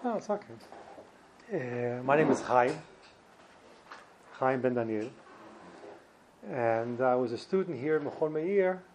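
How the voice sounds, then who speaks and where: A man in his fifties with a New York accent, giving an informal personal talk as a guest speaker in a halting, slightly uneasy way. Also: mid-range voice, expressive, noisy background. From New Jersey and Brooklyn, New York, United States. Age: 50s. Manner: hesitant, halting, slightly ill at ease. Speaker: guest speaker, former yeshiva student.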